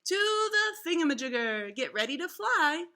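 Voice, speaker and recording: Casting: woman playing a friendly cat guide for a picture book, high bright voice, emphatic and eager to explain. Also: sing-song, moderate pace, heavily acted.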